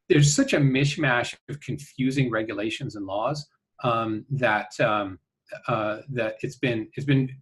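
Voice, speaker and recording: A man answering interview questions conversationally, earnest and halting, often checking in with a "right?". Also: mid-range voice, fairly bright tone. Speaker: interview guest, company founder.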